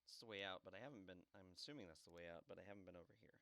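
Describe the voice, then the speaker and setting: A man's voice: deep voice, rapid-fire, gravelly, pushed and bright, dry recording. A man giving casual, dry and mischievous commentary as he plays a game. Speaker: gamer, let's play host.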